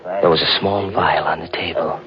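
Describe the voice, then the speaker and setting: A man's voice: low-pitched, steady tone, moderate pace, crowd noise, lightly acted. An actor playing a cold, scheming young man who narrates his own crime in 1940s radio style. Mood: brooding narration. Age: young adult. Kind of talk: 1940s radio acting, first-person narration. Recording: dull old radio broadcast with music.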